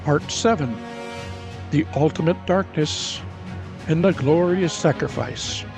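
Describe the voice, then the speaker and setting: A man delivers dramatic scripted narration. His voice is a little high and unhurried, measured like a storyteller. Music plays clearly underneath.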